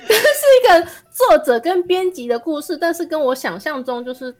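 Woman, Taiwanese Mandarin accent, highish voice, very expressive intonation, casual podcast guest. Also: a little fast, soft background music. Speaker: podcast guest, fiction writer. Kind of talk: casual chat.

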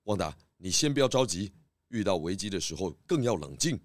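Guy doing a pig detective, deep-ish, knowing, big swoopy delivery, kids mystery show. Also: big pitch swings, fairly quick, noisy background. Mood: calm deduction. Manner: composed, knowing, theatrical exclamations. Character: confident pig detective, calm and theatrical. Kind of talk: children's audio drama acting.

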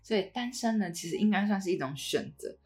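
A woman's voice: medium pitch, expressive, quick pace, a little mellow, faint music behind. A woman with a Taiwanese Mandarin accent, speaking conversationally as a podcast co-host.

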